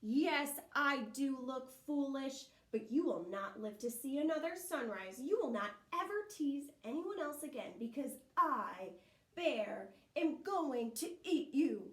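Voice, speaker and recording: Bear: a woman doing a big grumpy growling bear, slow and loud, echoey room. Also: high-pitched, animated. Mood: menacing.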